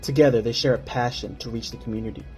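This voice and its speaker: A man's voice: mid-range voice, steady tone, quick pace, a little mellow. A man reading a scripted sponsor ad, running through lists. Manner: listing, scripted read. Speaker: ad reader. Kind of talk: read sponsor ad. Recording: faint music underneath.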